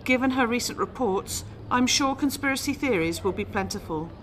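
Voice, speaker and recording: A solemn live news reporter. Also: female voice, on the high side, lively intonation, normal speed, a bit bright, a little hiss or hum. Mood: sombre. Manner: formal, solemn. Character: sombre female television news editor reporting live. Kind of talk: live news report, acted for radio drama.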